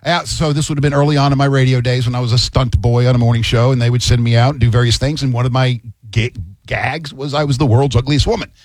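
A middle-aged man co-hosting a morning radio show, spinning a self-mocking story with lively energy. His voice sits at an ordinary pitch and is picked up dry and close in the studio. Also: quick pace, dry recording. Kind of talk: casual on-air banter.

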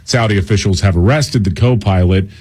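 A man co-hosts a talk radio show and retells the news in a loose, joking manner full of fillers. His voice is low and husky. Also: a little fast, darkish tone. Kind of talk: casual talk radio chat.

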